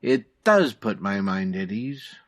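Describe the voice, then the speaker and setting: A man's voice: on the low side, big pitch swings, slow, heavily acted. A man playing a loyal, wry 1940s cab driver with colloquial, streetwise phrasing, in old-time radio comedy style.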